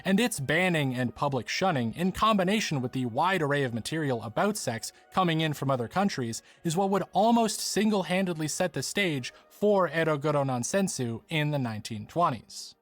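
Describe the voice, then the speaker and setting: A man's voice: on the high side, lively intonation, normal speed, few pauses. A man reading scripted documentary narration for a video essay.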